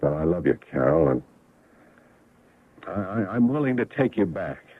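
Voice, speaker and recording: Desperate ex-husband, wildly swinging pitch. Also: male voice, sing-song. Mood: sheepish, hopeful. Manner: stammering, halting, emotional. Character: desperate, pleading, vain middle-aged ex-husband. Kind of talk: radio drama acting. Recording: tinny, band-limited radio drama recording.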